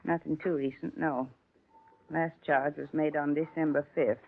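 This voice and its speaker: An actress playing a brisk, obliging store credit clerk in a 1950s radio drama. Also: female voice, low-pitched, fairly even intonation. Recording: tinny lo-fi 1950s radio broadcast.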